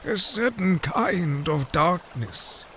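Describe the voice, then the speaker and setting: An elderly, learned royal advisor of a fish people, speaks in a high voice. He is formal and grave, taking his time over every phrase like a keeper of old lore. It is fantasy audio drama, with faint noise in the background. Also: expressive, fairly bright tone, exaggerated voice. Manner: formal, deliberate, solemn storyteller.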